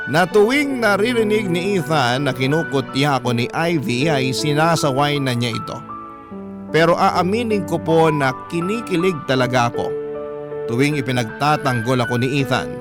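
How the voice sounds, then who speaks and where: A man's voice: fairly high pitch, animated, normal speed. A man with a Filipino accent reading a love story as a radio storytelling host, in dramatic scripted narration. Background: music.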